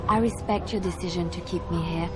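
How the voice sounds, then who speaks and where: An earnest, devoted female adventurer played by an actress, with a lowish voice. She is gentle and soft-hearted, a video game companion speaking over loud music. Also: fairly even intonation, brisk, close to an everyday voice. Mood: wistful, devoted. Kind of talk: video game character acting.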